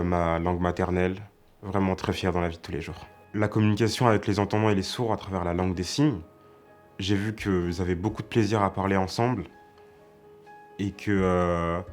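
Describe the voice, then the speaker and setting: A man's voice: low voice, a little mellow. A man speaking earnestly and encouragingly in a documentary interview, with a few hesitations.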